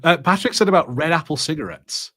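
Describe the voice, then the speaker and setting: A man co-hosting a podcast in casual watch party chat, halting and self-deprecating as he talks. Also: fairly high pitch, expressive, bright, forceful tone. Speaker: podcast co-host.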